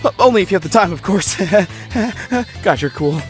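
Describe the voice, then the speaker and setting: Man playing a gushing, excitable robot guy, voice jumps all over, faint music under it. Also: high voice, moderate pace. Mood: giddy. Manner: giddy, gushing. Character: cheerful excitable young male robot drone. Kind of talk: fan comic dub.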